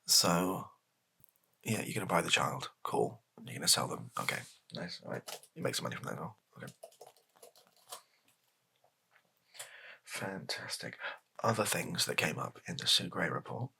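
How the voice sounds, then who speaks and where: A British man improvising a softly spoken comedy roleplay as a hesitant, deadpan, rambling political advisor to the prime minister. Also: sits low, normal speed, creaky, husky, heavily acted.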